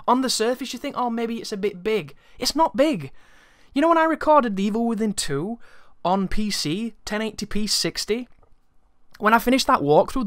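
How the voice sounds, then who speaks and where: A man's voice: sits high, a bit bright, dry recording. A man telling a casual, rambling story to his viewers in an exasperated, sweary way, close to the microphone. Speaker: YouTuber.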